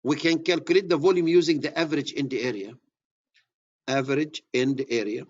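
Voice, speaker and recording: Egyptian-accented lecturer, husky voice. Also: male voice, medium pitch, highs cut off. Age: middle-aged. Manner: repeats key words, restarts phrases. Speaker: surveying instructor. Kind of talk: classroom lecture.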